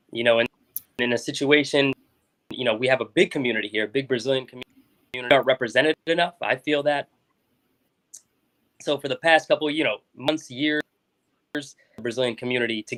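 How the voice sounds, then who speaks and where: A young man from South Florida speaks as a guest on a local talk show. He rambles casually, trips over his own words and restarts often. His voice sits at an ordinary middle pitch. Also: fairly bright tone, rough recording. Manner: rambling, many restarts and fillers. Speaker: school board member guest.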